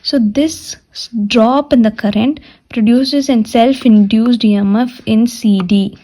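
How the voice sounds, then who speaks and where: Higher-pitched woman lecturer with mellow tone. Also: fairly even intonation. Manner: repeats and restates points deliberately. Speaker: physiotherapy lecturer. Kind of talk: step-by-step technical lecture explaining a diagram.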